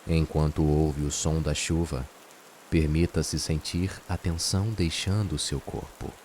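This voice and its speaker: A man with a Brazilian accent narrates a guided meditation in a soothing, unhurried way, leaving long pauses. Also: a bass voice. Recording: steady rain and hiss behind voice. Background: rain sounds.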